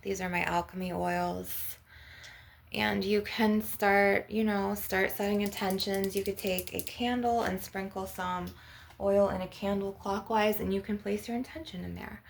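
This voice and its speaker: A woman's voice: mid-range voice, fairly even intonation, unhurried, vocal fry, slight background noise. A woman hosting a casual spiritual ASMR healing session, speaking informally and encouragingly straight to the listener. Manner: informal, encouraging, repeatedly addressing the listener directly. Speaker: ASMR energy healer channel host. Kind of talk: ASMR-style spiritual coaching, casual chat.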